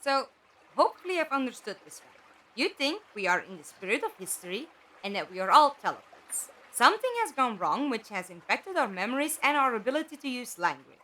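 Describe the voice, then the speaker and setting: An actress in a fan audio drama playing a wry, sceptical, questioning companion to a time traveller. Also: female voice, a little high, sing-song, a little fast, fairly bright tone, low-quality recording.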